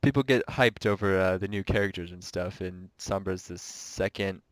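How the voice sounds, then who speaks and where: Stuffed-up nasal young man, low voice. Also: normal speed, no echo, low-quality recording. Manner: rambling, restarts sentences often. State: sick and stuffed up. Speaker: podcast co-host. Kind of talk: casual gaming podcast chat.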